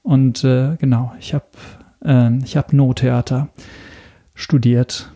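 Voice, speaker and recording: Hesitant Italian-accented man, dark voice. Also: mid-range voice, flat delivery, slow pace. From Italy. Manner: hesitant, many ums. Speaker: podcast guest. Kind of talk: casual interview talk.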